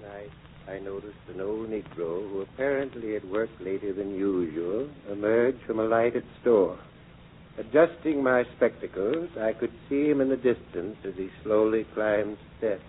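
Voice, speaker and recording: An actor playing a stern abolitionist who recounts events in measured, solemn oratory for a 1940s radio drama. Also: male voice, fairly low pitch, a little hiss or hum, lightly acted. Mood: grave, reflective. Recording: dull old radio broadcast recording.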